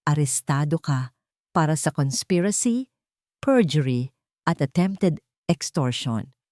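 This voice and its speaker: A woman's voice: low voice, expressive, slow pace, mellow, dry recording, clean studio sound. A woman voicing a stern male police officer in an audio story, formal and declarative.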